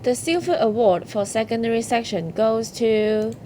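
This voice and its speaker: Woman, higher-pitched voice, scripted ceremony hosting, noisy recording. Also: expressive, normal speed. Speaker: awards ceremony host. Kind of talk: scripted award announcements.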